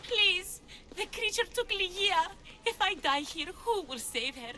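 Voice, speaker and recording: A woman's voice: very high voice, very animated, a little slow, bright, forceful tone, heavily acted. A woman playing a frightened, desperate young woman, pleading fervently in a video game cutscene.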